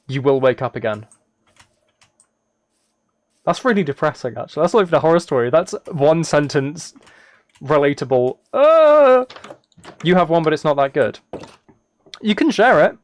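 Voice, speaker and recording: A man casually rambling to viewers on a livestream, musing aloud and often restarting his phrases. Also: a little high. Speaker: live streamer. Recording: background noise with keyboard typing and clicks.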